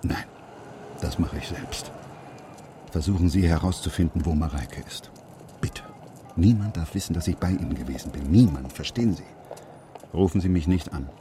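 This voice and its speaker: A grave, secretive surgeon and anxious father in a radio crime play, acted by a man. His voice is extremely deep and he talks in terse, halting sentences. Vehicle noise is clearly heard around him. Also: close to an everyday voice. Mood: urgent, secretive. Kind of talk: German radio crime drama acting.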